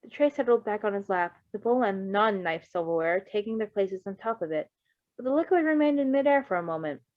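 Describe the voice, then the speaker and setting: A woman's voice: mid-range voice, animated, fairly quick, dry recording, narrow, tinny audio. A woman reading fan fiction aloud as an amateur narrator, with casual commentary.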